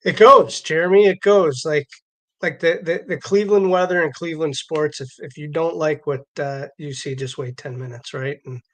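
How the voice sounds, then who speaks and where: A man with a slightly high voice co-hosts a casual sports talk show. His delivery is conversational and halting, often doubling back on his words. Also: fairly even intonation. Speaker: show owner and co-host.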